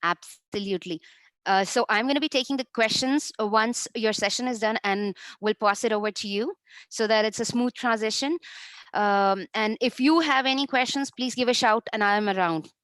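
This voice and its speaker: A woman with a fairly high, smooth voice hosts a webinar call, stumbling a little over her words as she hands over to the speaker. The sound is dry and close. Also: normal speed, a bit bright, no echo. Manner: hesitant, many fillers. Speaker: webinar host. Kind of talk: introducing a speaker.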